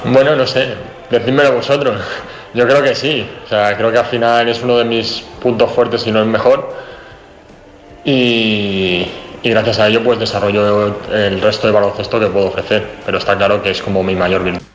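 A young man from the area, a basketball player answering press conference questions in a relaxed, chatty way with many fillers. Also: on the low side, normal speed, soft background music. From Spain, Madrid region. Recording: echoey press room.

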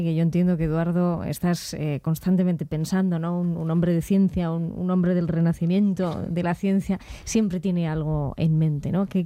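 Spanish lady interviewer, really soft dark voice, dry sound, asks questions in a measured way. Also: fairly low pitch, no room sound.

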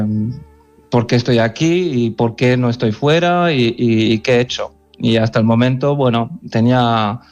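A man's voice: mid-range voice, clean tone, highs cut off. A man from France, an editor being interviewed, speaking earnestly with many restarts. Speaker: editor-in-chief of a football magazine. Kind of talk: phone interview on radio.

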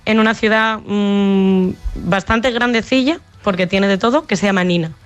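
A woman's voice: medium pitch, moderate pace, dull audio, soft background music. A young woman from Extremadura with a Madrid-tinged Spanish accent, chatting on a call about her au pair work. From Spain, Extremadura. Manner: chatty, lively storyteller, laughs often. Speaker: au pair calling in. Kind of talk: casual chat.

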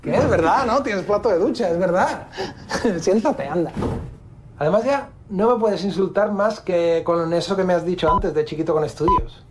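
A man's voice: sits high, moderate pace, noisy background, lightly acted. A man with a Spanish accent from Spain playing a casual, teasing comedian in naturalistic comedy dialogue. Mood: amused, needling. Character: casual teasing male comedian, apologetic yet needling.

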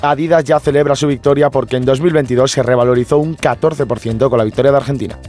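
Quick male business reporter. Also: medium pitch, fairly even intonation, loud music behind the voice. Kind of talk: scripted business news report.

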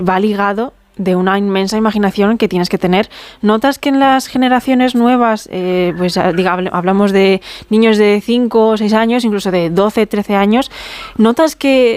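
A woman's voice: medium pitch, a little mellow, clear, resonant tone, dry recording. A woman with an accent from Spain co-hosting a radio show in a conversational interviewing style. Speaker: radio co-host.

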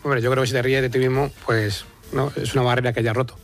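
A man's voice: mid-range voice, level tone, quick pace, faint music behind. A man from Aranjuez in central Spain with a Toledo accent, chatting casually as a comedian guest in a rambling, hesitant, self-deprecating way. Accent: Toledo, central Spanish. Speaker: stand-up comedian, interview guest. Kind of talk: casual radio chat.

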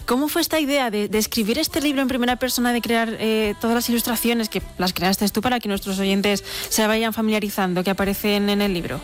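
A woman's voice: fairly high pitch, fairly even intonation, a little fast, few pauses. Chatty woman radio co-host. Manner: chatty, playful. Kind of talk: casual radio chat.

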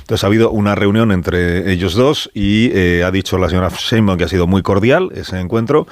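A man with an accent from Spain hosting a live radio panel, conversational, often pausing to rephrase as he presents. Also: low-pitched, no room sound. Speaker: radio talk show host. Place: radio studio.

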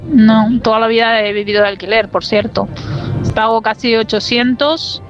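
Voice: medium pitch, fairly even intonation, normal speed, lo-fi sound, over music. A caller leaving an exasperated message on a radio show. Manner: exasperated, repeating words. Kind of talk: recorded listener message.

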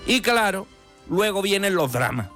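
A man's voice: high-pitched, very animated, unhurried, bright, forceful tone, soft background music, heavily acted. A man voicing a boozy, belligerent French deer who argues his case with indignant, boastful storytelling in a comic radio monologue. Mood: defensive, boastful.

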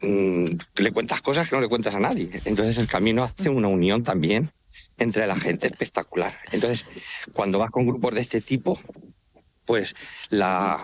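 A man from central Spain with a Manchegan accent talks casually as an interview guest. His voice sits a bit low, and he speaks with enthusiasm in halting, repeated phrases. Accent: Manchegan Spanish. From Castilla-La Mancha, Spain. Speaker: interview guest, walking pilgrim guide. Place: outdoors, walking along a trail by an estuary.

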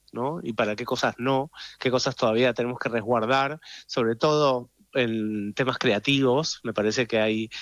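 A man's voice: mid-range voice, a bit bright, no room sound. A man with an Argentine accent giving thoughtful, explanatory interview answers in a conversational way, often checking in at the end of a thought. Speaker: audio series creator and director, interview guest.

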